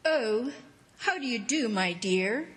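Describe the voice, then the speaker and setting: An actress playing a vain, plump society matron with pompous, genteel phrasing in old-time radio comedy. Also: female voice, on the high side, animated, slow, breathy edge, heavily acted. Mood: genteel, self-satisfied.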